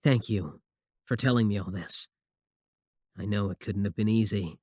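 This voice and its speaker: A man's voice: fairly low pitch, normal speed, warm tone, no room sound, close to an everyday voice. Man acting a possessive young admirer, close and dry. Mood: tender, concerned. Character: possessive, obsessive young male student, sweet yet menacing admirer. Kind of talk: scripted ASMR roleplay acting.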